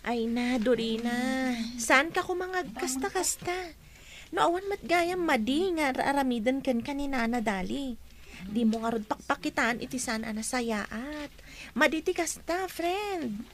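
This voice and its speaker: A woman playing a friendly, reasonable schoolgirl who coaxes and gently scolds her friend in a radio drama. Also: sits high, animated, unhurried, slight background noise. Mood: gently reproving. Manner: coaxing, reproving. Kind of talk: radio drama acting in Ilocano.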